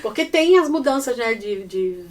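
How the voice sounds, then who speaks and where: Animated Brazilian woman, a bit off-mic. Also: fairly high pitch, normal speed, a little hiss or hum. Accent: Brazilian Portuguese. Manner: animated, expressive, acts out little quotes. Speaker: podcast guest. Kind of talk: casual chat. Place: indoors in Ireland. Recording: voice a little distant from the mic.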